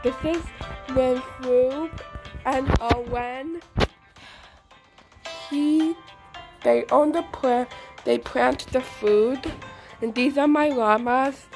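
A young girl casually narrating a tour of her game world, naming each character one by one with halting restarts, recorded as a voice-over. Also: high-pitched. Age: under 10. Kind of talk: casual show-and-tell narration. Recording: music playing behind.

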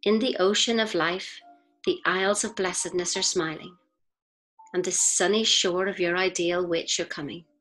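Female audiobook reader, slightly low register, measured delivery with deliberate pauses, faint music underneath. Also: steady tone, fairly bright tone, poor audio. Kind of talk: scripted narration of an inspirational essay.

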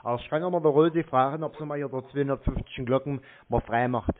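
A man's voice: medium pitch, quick pace, close to an everyday voice. A man voicing a naive, chatty, henpecked husband with a childlike love of model trains, rambling guilelessly on a prank call. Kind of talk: prank call to phone scammers. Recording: muffled narrow-band phone call.

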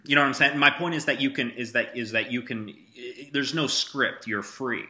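Bright-voiced man teaching Arabic. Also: medium pitch, a little fast. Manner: patient, repeats praise, prompts the student. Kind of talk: one-on-one language lesson.

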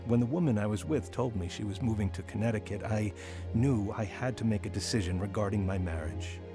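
A man's voice: on the low side, fairly quick, over music, lightly acted. An actor playing a regretful married schoolteacher and father telling his life story in a radio drama. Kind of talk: radio drama narration and dialogue.

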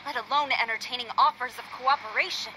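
A woman's voice: fairly high pitch, fast talker, pushed and bright, slight background noise, rough recording. A woman playing a stern, proud, dutiful young female commander in an anime video game, formal, clipped and authoritative. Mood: stern, angry.

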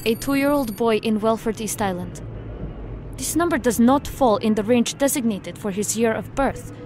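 An actress playing a formal, analytical federal agent in an audio drama, speaking precisely. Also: female voice, a little high, moderate pace, darkish tone, a little hiss or hum, natural voice. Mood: measured, analytical. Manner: precise, formal, without contractions.

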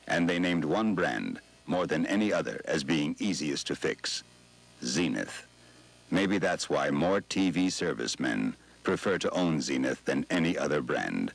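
A man's voice: a bass voice, expressive, unhurried, creaky. A man giving a formal, scripted advertising read as a television announcer. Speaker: commercial announcer.

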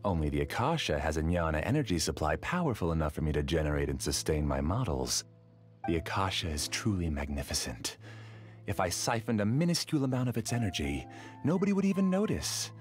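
An actor playing a formal, self-assured, obsessive scholar who rules a dream world in an anime game. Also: male voice, deep voice, darkish tone. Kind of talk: anime video game voice acting.

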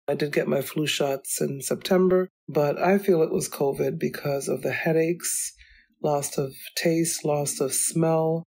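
A woman hosting her own podcast, talking alone in a chatty, rambling way, her voice still slightly hoarse from illness. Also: low-pitched, steady tone, measured pace, darkish tone. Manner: chatty, rambling, goes off on tangents. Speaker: podcast host. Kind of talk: solo podcast monologue. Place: home.

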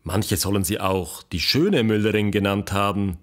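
A man's voice: on the low side, steady tone, moderate pace, lightly acted. A man playing an eager, curious investigator who interviews people in a scripted audio drama. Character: eager, curious male investigator questioning historical villagers.

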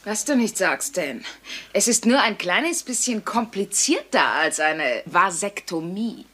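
A woman's voice: medium pitch, lively intonation, a little slow, fairly bright tone, slight background noise. A woman playing a sarcastic but caring working-class mother in a dubbed sitcom, speaking dryly. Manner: dry, sardonic. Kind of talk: German-dubbed sitcom dialogue.

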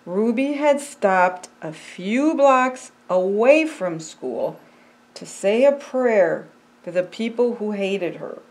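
An older woman, a pastor, reading a children's picture book aloud in a deliberate, expressive storytelling manner. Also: medium pitch, low-quality recording. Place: indoors by a fireplace.

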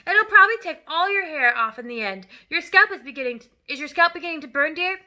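A woman puts on a nagging, scornful wife from a children's book. Her voice is high and very sharp, shrill as she scolds and belittles. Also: fast talker. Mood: exasperated.

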